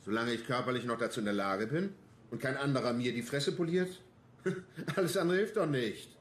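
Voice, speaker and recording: An actor playing a gruff, combative, embittered police detective in a radio crime drama, talking bluntly and coarsely. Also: male voice, medium pitch, normal speed, natural voice. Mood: combative, defiant. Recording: roomy sound with faint noise.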